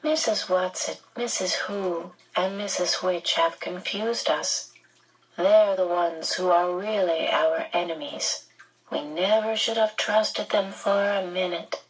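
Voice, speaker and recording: A woman voicing a small possessed boy in an audiobook, with a canned, calm, coldly reasonable manner. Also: mid-range voice, unhurried, husky, voice pushed up, rough recording.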